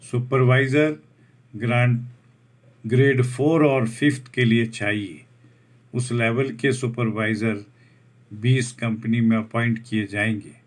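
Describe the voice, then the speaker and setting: A man reads out a job advertisement over a document, slowly restating each English term in Hindi. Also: medium pitch, steady tone. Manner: slow, deliberate, restates each point in Hindi after English terms. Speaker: job vacancy channel host.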